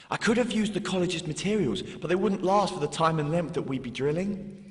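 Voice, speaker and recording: A man's voice: a little high, close to an everyday voice. A man playing an exasperated, sardonic college professor in an audio drama. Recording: echoey hall, distant from the mic.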